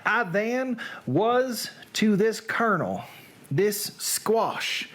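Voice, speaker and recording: Slow Southern-drawling Shakespearean nobleman, as a reader puts on. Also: mid-range voice, big pitch swings, fairly bright tone, full character voice. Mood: musing, nostalgic. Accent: Southern American, put on. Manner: deliberate, drawn-out, theatrical phrasing. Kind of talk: dramatic reading of Shakespeare verse.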